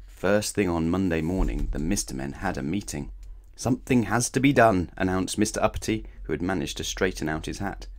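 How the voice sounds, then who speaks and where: A man reading a children's storybook aloud in an animated, playful way, speaking straight to young listeners. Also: low voice, brisk. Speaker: storybook reader. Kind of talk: expressive scripted children's story read-aloud.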